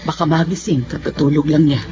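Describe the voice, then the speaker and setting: A woman's voice: sits low, fairly even intonation, fairly quick, warm tone, soft background music. An actress playing a weary, enduring and protective middle-aged mother in a radio drama, pleading yet firm. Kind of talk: Tagalog radio drama acting. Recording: muffled, faintly noisy audio.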